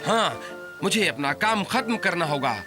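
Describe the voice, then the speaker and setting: An actor playing an excitable, theatrical adventurer in a Hindi cartoon dub. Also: male voice, sits high, measured pace, over music. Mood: baffled, vengeful.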